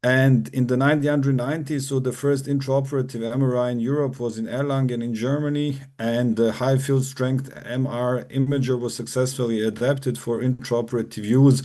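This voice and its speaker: A man from Bosnia, a neurosurgeon giving a formal academic lecture over a video call, measured and pausing often with "uh". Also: medium pitch, steady tone, warm tone. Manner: measured, formal, with frequent "uh" pauses. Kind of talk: academic presentation.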